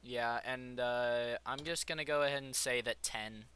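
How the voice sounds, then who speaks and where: A man's voice: medium pitch, fairly even intonation, moderate pace, a bit bright, slight background noise. A man running a tabletop game as game master, casual and hesitant.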